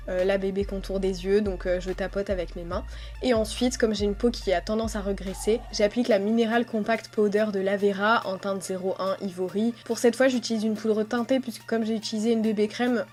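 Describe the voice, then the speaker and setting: A young woman with a stuffy, nasal voice from a cold, narrating her skincare routine as a relaxed, chatty voice-over with frequent hesitations. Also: mid-range voice. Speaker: beauty vlogger. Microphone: voice-over recorded separately.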